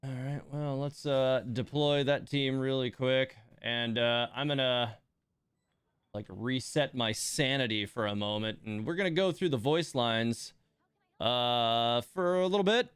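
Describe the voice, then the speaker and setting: Male game streamer, clear smooth voice, casual and exasperated, muttering asides to chat. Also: medium pitch, a little slow, a bit bright, dry recording, clean studio sound. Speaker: livestream host playing a gacha game. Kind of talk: casual livestream chat and commentary.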